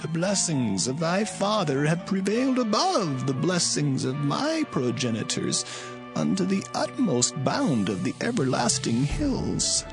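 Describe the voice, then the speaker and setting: Aged biblical patriarch, gravelly and solemn, swooping declamation for audio scripture drama, music underneath. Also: male voice, on the high side, big pitch swings, rough recording. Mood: solemn blessing. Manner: slow, declamatory. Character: aged dying biblical patriarch, solemnly blessing his sons.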